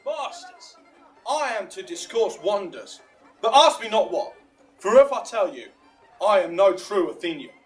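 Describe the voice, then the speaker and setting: A man's voice: sits high, expressive, measured pace. A man playing an exuberant, self-important amateur actor in a theatrical Shakespearean audio drama, declaiming grandly and bossily. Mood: excited, bossy. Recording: roomy, distant mic, music behind.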